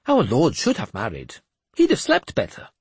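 A man voicing a mad, sly, self-proclaimed bishop who talks in a high, slow, sing-song preaching way. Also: wildly swooping pitch, breathy edge, no echo, exaggerated voice. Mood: lofty.

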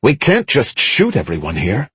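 A man's voice: medium pitch, huge pitch swings, moderate pace, a bit bright, breathy edge, no echo, full character voice. A man voicing an earnest soldier in a dramatised audiobook, speaking with urgent, firm, emphatic appeals. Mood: worried. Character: earnest male soldier, urgent, pleading, firm with frightened crowds.

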